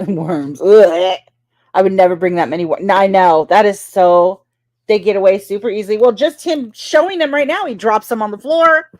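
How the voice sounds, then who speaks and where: A woman chats on a livestream in a sarcastic, profane way and gets squeamish easily. Her voice sits at a middle pitch. The sound is dry and close. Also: normal speed, a little mellow, no room sound. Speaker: livestream co-host. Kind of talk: casual livestream banter.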